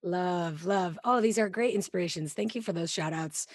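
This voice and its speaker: A woman's voice: on the low side, normal speed, dry recording. A woman hosting a podcast, speaking into a studio mic with headphones on, in effusive, chatty casual talk. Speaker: podcast host. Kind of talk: casual interview chat.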